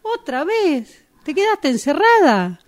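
A fairly high, animated woman's voice with a Rioplatense accent, acting a helper in a radio drama. She is flustered and exasperated yet soothing, often repeating herself. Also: takes it slowly, exaggerated voice. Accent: Rioplatense Argentine. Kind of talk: amateur radio drama exercise.

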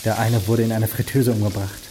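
A woman's voice: a bass voice, fairly even intonation, fast talker, noisy background. A casual, probing female detective in a radio crime drama. She talks naturally and directly, with distinct kitchen sizzle and clatter behind her. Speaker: actor.

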